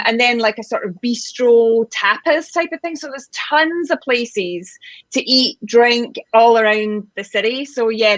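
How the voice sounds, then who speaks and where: Scottish woman, relaxed guide on a video call, dry muffled line. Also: on the high side, dry recording. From Scotland. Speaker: tour guide. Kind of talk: live video call chat.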